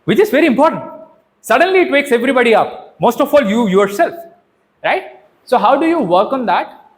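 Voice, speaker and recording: A man, a trainer giving an interactive public speaking workshop in an animated, instructive way, often asking questions and checking in. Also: extremely high pitch, fairly quick.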